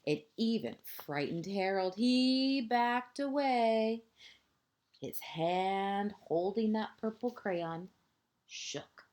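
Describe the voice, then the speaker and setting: A woman reads a children's storybook aloud in the manner of a kindergarten teacher. Her delivery is slow and deliberate, with highly varied intonation. She leaves dramatic pauses and leans on key words for young listeners. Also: animated, darkish tone. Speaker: transitional kindergarten educator reading a picture book to pupils.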